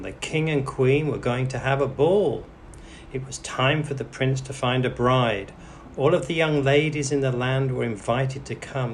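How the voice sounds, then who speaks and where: A man tells a bedtime story for language learners, medium-pitched and deliberate. He reads with animation, and there is noticeable noise behind him. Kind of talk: read-aloud bedtime story for English learners. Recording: clearly noisy background.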